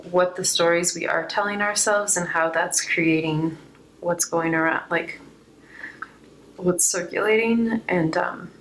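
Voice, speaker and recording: A woman giving an unscripted, reflective spiritual tarot reading, speaking gently with pauses between phrases, her voice a little hoarse. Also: lowish voice, fairly even intonation, slight background noise, rough recording. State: slightly hoarse. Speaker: tarot reader.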